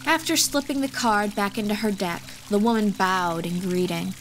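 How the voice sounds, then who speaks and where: A woman's voice: mid-range voice, moderate pace. A woman reading scripted fantasy audiobook narration as the storyteller, with music behind her. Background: background music.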